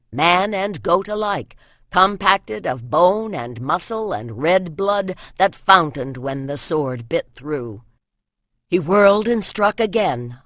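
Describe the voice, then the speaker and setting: An audiobook narrator reading epic fantasy in an expressive, solemn storytelling manner. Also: medium pitch, no room sound, clean studio sound. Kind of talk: dramatic scripted fantasy narration.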